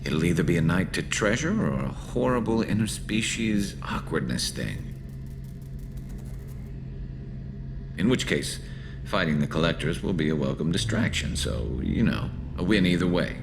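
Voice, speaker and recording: A man voicing a loyal alien soldier in a video game, gravelly, halting and self-deprecating with dry, nervous humour. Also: low-pitched, sing-song, noisy background, full character voice. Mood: wry, flustered. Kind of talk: video game dialogue acting.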